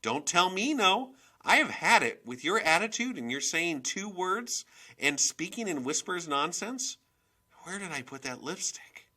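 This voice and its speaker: A man voicing a volatile, domineering mother in a storybook reading, with a sharp, scolding, emphatic manner. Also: high-pitched, sing-song, unhurried, voice pushed up, full character voice. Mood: angry, bossy.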